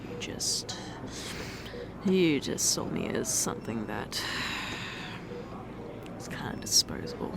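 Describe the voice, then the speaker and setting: A woman playing a distraught young fiancée who confesses in halting speech, often restarting her sentences. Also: very deep voice, big pitch swings, creaky, heavy background noise, exaggerated voice. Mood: hushed, wounded. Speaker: ASMR roleplay performer. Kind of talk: ASMR roleplay.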